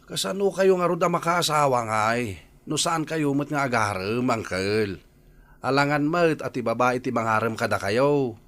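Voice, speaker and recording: An actor playing an earnest young nephew in a radio drama, with a conversational, coaxing way of talking. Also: male voice, mid-range voice, animated, normal speed, fairly bright tone, lightly acted. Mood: questioning. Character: earnest young nephew nudging his shy uncle. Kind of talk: radio drama acting in Ilocano.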